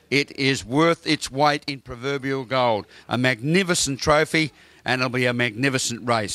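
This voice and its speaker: A man's voice: medium pitch, pushed and bright, dry recording. A man with an Australian accent presenting local TV from a racecourse lounge, effusive and joking with guests.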